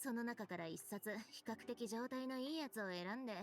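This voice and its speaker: A woman voicing a fast-talking storytelling game girl. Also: fairly high pitch, lightly acted. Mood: recounting. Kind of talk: dubbed game dialogue. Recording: game audio with music behind.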